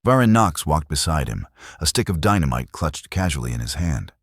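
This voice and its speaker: A man's voice: a bass voice, big pitch swings, a little fast, slightly rough, no echo, studio quality. A man narrating a dramatic scripted Western story.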